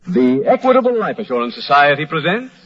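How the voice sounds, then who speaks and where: A man with an American accent announcing in formal, emphatic, polished 1940s radio style as a sponsor's pitchman, on an old broadcast recording. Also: sing-song, fairly quick, lo-fi sound.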